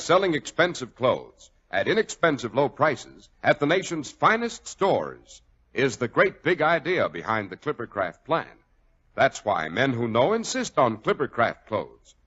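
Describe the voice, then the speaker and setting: Slightly husky, animated old-time radio announcer man. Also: mid-range voice, a little slow. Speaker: radio host and sponsor announcer. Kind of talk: 1940s radio announcing, scripted pitch. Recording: dull vintage radio recording.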